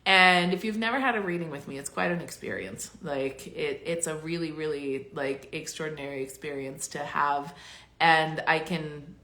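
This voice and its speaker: A woman talks straight through a casual live stream, rambling and gushing with a steady stream of fillers. Her voice is bright and a little raspy, and she sounds congested. The sound comes through with a noticeable room echo. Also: deep voice, normal speed. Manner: rambling and effusive, many fillers. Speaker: spiritual coach hosting a live stream. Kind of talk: casual livestream monologue.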